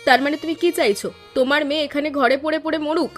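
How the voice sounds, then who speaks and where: A woman's voice: high voice, fairly even intonation, quick pace, soft background music, lightly acted. A woman playing a fretful, scolding village wife and mother in a cartoon, emphatic and dramatic. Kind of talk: Bengali cartoon voice acting.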